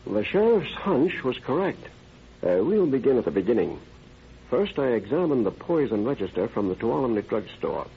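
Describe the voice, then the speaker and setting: A man's voice: mid-range voice, sing-song, moderate pace, creaky, slightly rough, full character voice. An actor playing a learned, confident male criminologist who speaks formally and methodically in an old-time radio drama. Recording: dull, noisy vintage radio recording.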